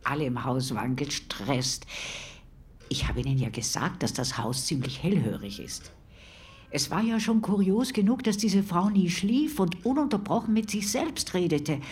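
An actress playing a troubled, gossipy neighbour, giving her account in halting, colloquial speech for a documentary-style radio play. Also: on the low side, lively intonation, a little mellow, breathy edge. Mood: exasperated.

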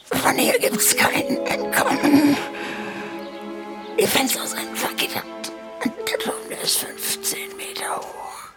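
Whispery, frail old woman, slow. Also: high voice, big pitch swings, full character voice. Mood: wistful, bleak. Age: older. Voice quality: breathy, whispery. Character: frail, resigned old mother imprisoned by her son. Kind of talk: radio drama acting. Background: loud music.

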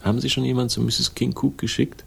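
An actor in a radio crime play playing a cynical, stubborn investigator, speaking in a laconic, sardonic way with a gravelly voice. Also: male voice, mid-range voice, flat delivery, brisk, exaggerated voice. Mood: wry. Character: cynical, stubborn male hard-boiled crime investigator, wry and defiant. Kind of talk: 1960s German radio play acting, dialogue and narration.

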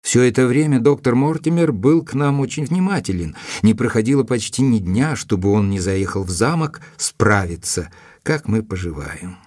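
An actor playing a thoughtful gentleman who narrates his own account in an audio drama, measured, literary and reflective. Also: mid-range voice, expressive, no echo, clean studio sound, natural voice. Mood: reflective narration.

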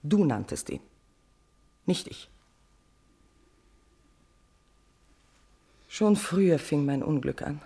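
An actress playing a guilt-tormented, passionate queen in a classical verse tragedy for radio, declaiming with shifts between hushed and forceful delivery. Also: sits low, very animated, measured pace, creaky, breathy edge, heavily acted. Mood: guilty despair. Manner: declamatory, swinging from whispers to outbursts.

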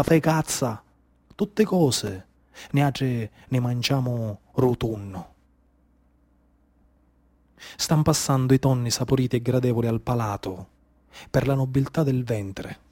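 A man's voice: medium pitch, unhurried, warm tone. A man with a Sicilian accent from the Trapani area delivers a theatrical storytelling monologue in an incantatory, rhythmically repetitive way. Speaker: actor and storyteller. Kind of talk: theatrical monologue storytelling, poetic narration mixed with dialect.

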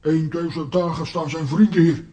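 An actor playing a mocking, disembodied stolen voice in a children's audio play, chattering and taunting. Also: male voice, on the high side, steady tone, moderate pace, warm tone, natural voice.

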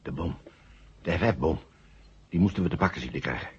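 A muffled old radio drama recording of an astronaut with a low, very husky voice. He is dazed and anxious, groping haltingly for words as he comes out of hypnosis. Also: darkish tone, full character voice. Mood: confused, anxious. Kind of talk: 1970 Dutch science-fiction radio drama acting.

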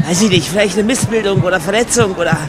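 A man's voice: high voice, fast talker, over music, a crowd in the background, lightly acted. A man playing a suffering, groaning man having a comic heart attack in an audio play, whiny and exasperated. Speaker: protagonist and narrator of an audio play. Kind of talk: comic radio play acting.

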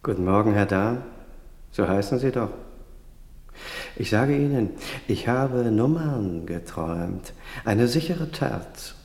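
A self-important, suspicious lodger in a radio drama. His low, gravelly voice insists and grumbles, ringing through a reverberant hall. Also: expressive, slow, long pauses, darkish tone, full character voice. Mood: insistent, grumbling. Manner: insistent, grumbling, emphatic short sentences. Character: gaunt, suspicious, self-important lottery-dreaming male hotel lodger. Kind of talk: German radio play acting. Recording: echoey hall with long reverb.

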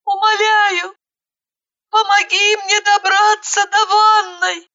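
Woman acting a high, trembling, pleading injured girl. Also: level tone, takes it slowly, pushed and bright, lo-fi sound, full character voice. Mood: imploring.

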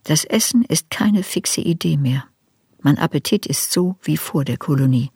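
An actress playing an older woman writer who soberly looks back on her youth in a measured, reflective radio drama narration. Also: sits low, animated, mellow, breathy edge, no echo, studio quality, exaggerated voice. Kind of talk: literary radio drama narration in first person.

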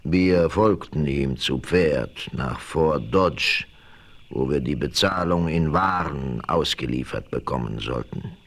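A grave, vengeful chief, with a very deep voice. He speaks slowly and tells his story in a measured way. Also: animated, heavily acted. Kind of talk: 1970s radio play acting.

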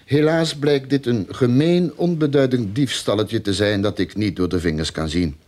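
An actor playing a sly, greedy detective in a radio drama, with a mocking, theatrical, mock-polite manner. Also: male voice, medium pitch, moderate pace, a little mellow, lightly acted. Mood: smug, insinuating.

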